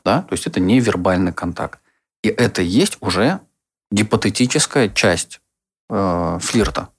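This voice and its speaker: A man's voice: lowish voice, animated, measured pace, slightly rough. A man co-hosting a podcast as a psychologist, explaining ideas step by step with rhetorical questions. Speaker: psychologist and sexologist co-host.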